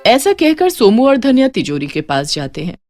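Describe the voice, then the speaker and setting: A woman's voice: fairly high pitch, sing-song, moderate pace, darkish tone, no echo. A woman narrating a scripted children's moral story. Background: music.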